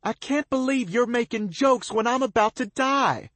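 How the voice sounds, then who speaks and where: A terrified, tearful young woman cries out in a high, strained voice, biting back with sharp retorts between sobs, as a male narrator puts her on. The sound is dry and close. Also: moderate pace, no room sound. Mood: panicked. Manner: shrill, tearful and sobbing.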